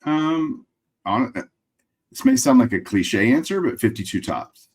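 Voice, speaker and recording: A middle-aged man from Texas with a Texas accent, a guest on a video call from a room of framed memorabilia, relaxed and dry-humored as he thinks out loud. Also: medium pitch, measured pace, husky. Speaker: guest collector. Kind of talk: casual interview over video call. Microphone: webcam video call.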